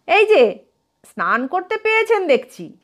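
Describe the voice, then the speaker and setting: A woman's voice: very high voice, very animated, a little slow, voice pushed up, exaggerated voice. A woman voicing a wry, bookish young man who teases drily during an argument in a story reading. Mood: cool, teasing.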